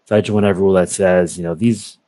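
Deep-voiced man explaining software. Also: steady tone, very fast, no room sound. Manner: methodical, explains step by step. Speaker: software product presenter. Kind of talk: screen-share software walkthrough.

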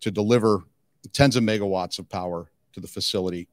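Low-voiced middle-aged man in an interview. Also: quick pace, dry recording. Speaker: company executive being interviewed. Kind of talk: conversational trade-show interview. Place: indoor interview booth at a conference. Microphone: handheld microphone.

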